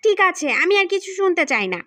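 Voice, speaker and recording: A woman's voice: extremely high pitch, big pitch swings, brisk, bright, forceful tone, no echo, voice pushed up, full character voice. A woman voicing a proud, hot-tempered teenage girl in an audiobook, blunt and defiant. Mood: indignant, defiant. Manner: blunt, indignant, challenging. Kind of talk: audiobook character acting.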